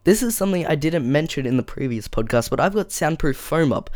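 A man hosting a casual solo tech podcast, his voice croaky from a flu, rambling with many ums and frustrated rants, on a boom-arm microphone in a foam-treated room. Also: animated, fairly quick, darkish tone, no room sound, clean studio sound. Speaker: podcast host. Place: small room with soundproof foam. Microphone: boom-arm studio microphone.

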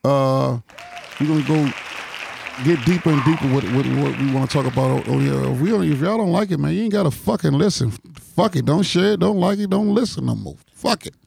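A man with an American accent and a gravelly, husky voice, hosting a casual podcast with blunt, profane street slang. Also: a little high, lively intonation, brisk, darkish tone, some chatter behind, a little hiss or hum. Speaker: podcast host.

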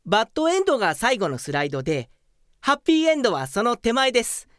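A woman's voice: on the high side, sing-song, fast talker, no room sound, studio quality. A woman playing an earnest, delusional young male office geek in a comedy radio drama, polite yet pompous and theatrical. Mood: indignant.

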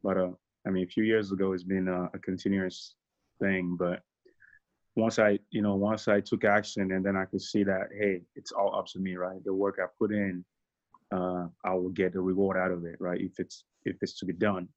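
A man in his thirties, a guest in a casual interview, speaking in a relaxed, reflective way with many fillers. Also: low-pitched, normal speed, lo-fi sound.

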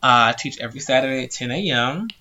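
An upbeat, chatty man co-hosting a podcast, his voice bright and punchy. The audio is slightly dull and muffled. He talks at a middle pitch. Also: lively intonation, fast talker, a little hiss or hum. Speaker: podcast co-host, yoga teacher. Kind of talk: casual podcast chat.

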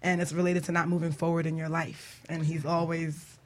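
A woman's voice: low voice, little pitch movement, a little fast, darkish tone. A woman co-hosting a talk show in a casual, colloquial style, telling anecdotes and asking questions. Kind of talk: casual chat.

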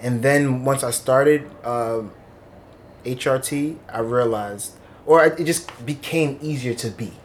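A man in his thirties from Long Island tells his life story in an oral history interview, candid and reflective. His voice sits at an everyday middle pitch, with a faint hiss of background noise in the office. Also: measured pace. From Long Island, New York. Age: 30s. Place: office indoors.

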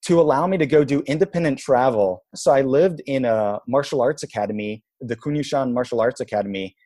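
A man in his thirties from Israel, a guest telling his life story in a relaxed, reflective way, with many "like"s and "you know"s. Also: mid-range voice, brisk. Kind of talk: casual storytelling interview.

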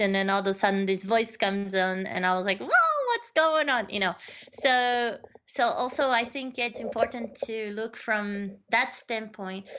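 A woman talks in an easy, chatty way and keeps restarting her sentences as she shares stories from her work. Her voice sits at an ordinary middle pitch. The recording sounds a little dull and lo-fi. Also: fairly bright tone, clean tone. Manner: chatty, lots of fillers and restarts, tells anecdotes. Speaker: accessibility researcher and guest. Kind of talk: casual conversation.

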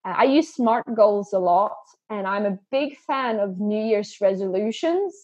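A woman's voice: medium pitch, lively intonation, unhurried, darkish tone. Woman guest with dull lo-fi sound. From Sweden and England. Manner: earnest, repeats words when starting. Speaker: podcast guest. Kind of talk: conversational interview.

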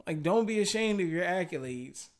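A man chatting casually about music and movies in a slangy, animated way, laughing often. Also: high voice, normal speed.

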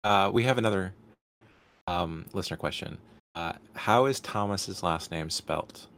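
A man's voice: deep voice, a little slow, gravelly, a bit bright, slight background noise. A man moderating a casual question-and-answer session, reading out questions and following up. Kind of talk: casual hosting.

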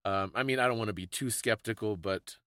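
A man's voice: on the low side, brisk, pushed and bright, clean tone, no echo, clean studio sound. A man co-hosting a podcast, talking casually about history in a bookish, explanatory way. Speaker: podcast co-host. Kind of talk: casual conversational history discussion.

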